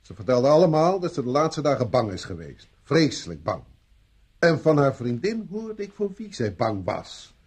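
A sceptical police inspector in a vintage radio play. His interrogation is dry-witted and sarcastic, with lively pitch movement and a slightly husky tone at a middling pitch. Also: moderate pace. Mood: stern, accusing. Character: stern, sceptical male British police inspector. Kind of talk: vintage Dutch radio drama acting.

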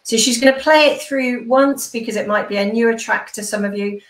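A woman's voice: on the high side, brisk. A middle-aged woman, a church minister, leading online worship and prayer informally from a room at home. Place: small room at home, indoors. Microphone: computer mic on a video call. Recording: roomy home video-call sound.

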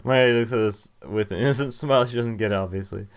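A man's voice: mid-range voice, quick pace. A young man reading game text aloud and commenting casually as he plays. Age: young adult. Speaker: game streamer. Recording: game music behind.

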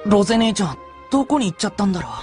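A brash young dog-boy hunter played by an actor from an anime radio drama. The voice swings widely in pitch and speaks in casual, boyish, rough phrasing, with soft music behind it. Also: sing-song, very fast, natural voice. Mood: musing, hesitant.

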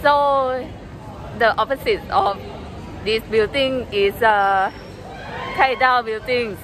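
Slow, hesitant young woman vlogger. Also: fairly high pitch, a bit bright, faint music behind, some chatter behind, poor audio. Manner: hesitant, searching for words. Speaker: psychology student. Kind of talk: casual campus tour vlog. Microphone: phone held at arm's length.

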